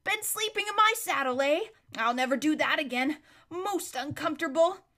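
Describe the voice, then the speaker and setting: A woman's voice: sits high, lively intonation, normal speed, bright, forceful tone, pitched above the performer's own voice. A woman voicing a proud, pompous male warhorse who talks down to others in a grand, condescending drawl. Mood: complaining.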